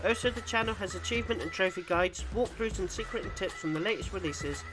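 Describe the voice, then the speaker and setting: A man's voice: high-pitched, fast talker, music in the background. A man reading a scripted outro as a gaming channel creator.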